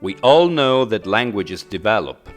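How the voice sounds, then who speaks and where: A fairly low-voiced man with an Italian accent talks through a linguistics lesson in a clear, explanatory way, now and then slipping in a playful aside. His voice rises and falls in a lively way, and faint music sits quietly behind him. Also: a little slow. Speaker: linguistics teacher. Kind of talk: scripted educational narration.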